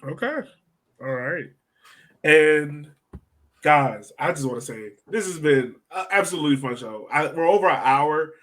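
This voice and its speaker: A man with a fairly high voice hosts a podcast. He talks in a casual, conversational way, as someone steering a chat between guests. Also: lively intonation, a little slow, fairly bright tone. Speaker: podcast host.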